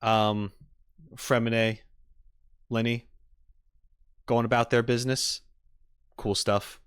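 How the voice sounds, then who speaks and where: A man's voice: mid-range voice, little pitch movement, slow pace, clear, resonant tone. A man casually chatting and reacting on a livestream, with easygoing asides muttered to his viewers. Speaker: streamer reacting to game videos.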